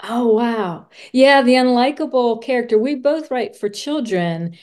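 A woman's voice: a little high, a little slow, darkish tone. A woman podcast host chatting casually in an interview, thinking aloud and often rephrasing as she goes. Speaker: children's author.

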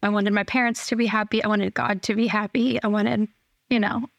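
A woman in her thirties, a podcast guest telling her personal story in a reflective, relaxed way. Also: medium pitch, fairly quick, creaky, no echo, clean studio sound. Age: 30s.

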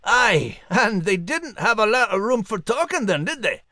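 A man voicing a gruff, grumbling male animal with a Scottish accent and blunt, folksy humor. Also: high voice, sing-song, normal speed, sharp tone, no room sound, studio quality, exaggerated voice. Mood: wry.

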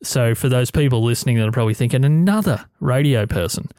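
A man's voice: medium pitch, fast talker, warm tone, dry recording. A young Australian man hosting a podcast interview, friendly and a bit rambling, speaking in a radio studio. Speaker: podcast host. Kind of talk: interview chat. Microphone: studio mic.

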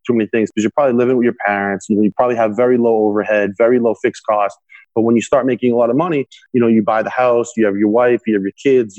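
A man's voice: mid-range voice, a little mellow, no room sound, muffled recording. A young man, a guest on an interview podcast, earnestly giving money advice and filling his speech with "you know". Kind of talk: conversational interview answers.

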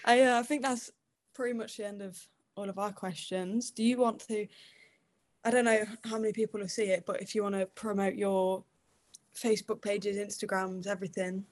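A woman's voice: medium pitch, steady tone, moderate pace, no room sound. A woman hosting a podcast, who chats casually, laughs along and answers with short agreements. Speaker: podcast host.

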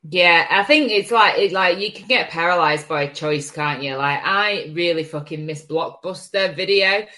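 Low-pitched woman hosting a casual chat. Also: moderate pace, bright, forceful tone. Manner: informal, wry, with mild swearing. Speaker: host of a marketing agency live show.

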